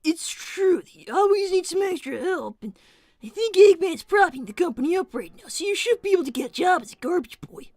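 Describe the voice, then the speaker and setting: A young cartoon fox boy played by a woman speaks in a tiny, very high voice. He is brainy but sensitive, sounding earnest, hesitant and worn down as he protests. The sound is dry and close. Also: expressive, fairly quick, darkish tone, no room sound. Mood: earnest, awkward. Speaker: character performer on a livestream. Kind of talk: improvised in-character conversation.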